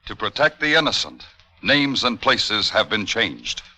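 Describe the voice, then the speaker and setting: A man's voice: fairly low pitch, very animated, unhurried, very noisy. A man introducing a radio programme in a formal, portentous, dramatic 1940s announcing style.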